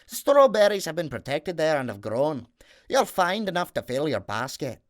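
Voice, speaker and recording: Brief: kind little forest men from a storybook, voiced by a male reader; a bit higher than his own voice. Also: big pitch swings, moderate pace. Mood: kindly. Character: three kindly little forest men granting gifts.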